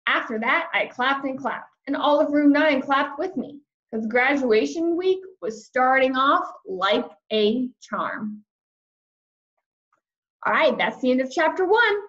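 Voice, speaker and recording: A woman reading a children's chapter book aloud in an expressive storytelling style. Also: high voice, takes it slowly, highs cut off. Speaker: storybook reader.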